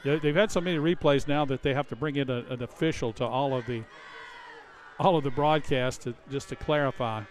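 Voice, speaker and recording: An American man giving conversational, halting color analysis at a live high school football game in a stadium. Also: some chatter behind, a little hiss or hum. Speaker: color commentator. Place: outdoor stadium.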